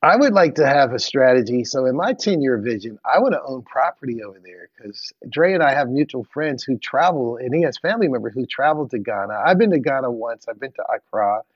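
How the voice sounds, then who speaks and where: A man's voice: mid-range voice, lively intonation, brisk, few pauses, slightly rough, no room sound. A man in his forties co-hosting a podcast interview, chatting and asking long rambling questions with restarts. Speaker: podcast co-host. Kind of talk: casual interview chat. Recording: dull, dry lo-fi sound.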